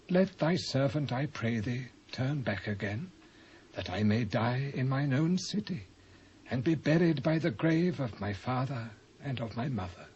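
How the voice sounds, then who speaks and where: A man's voice: medium pitch, darkish tone, natural voice. Guy doing a tired old british man, 80, humble and plaintive, bible drama, muffled tape with some hiss. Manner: plaintive, deferential. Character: very aged, humble man of eighty, weary and deferential. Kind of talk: dramatised scripture acting. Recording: dull cassette sound, clear noise.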